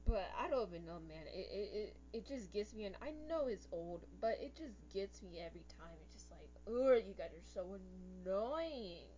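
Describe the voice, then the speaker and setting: Young woman podcast host, a bit hoarse, rambling, self-correcting chatter; slightly muffled take with a faint hum. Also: medium pitch, animated, moderate pace, hardly any pauses, dark tone. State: losing her voice, slightly hoarse. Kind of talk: casual podcast chat.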